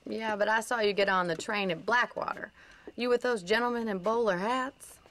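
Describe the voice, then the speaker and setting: A woman's voice: mid-range voice, moderate pace, fairly bright tone, lightly acted. A woman voicing a spirited young rancher in a game cutscene, forthright and teasing in her plainspoken talk. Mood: playful. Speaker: ranch owner. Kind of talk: video game cutscene dialogue.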